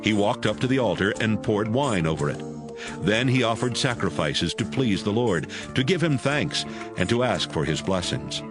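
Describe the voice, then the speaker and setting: Low, animated male Bible narrator. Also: moderate pace. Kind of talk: scripted narration of a dramatized audio Bible. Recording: music under the voice.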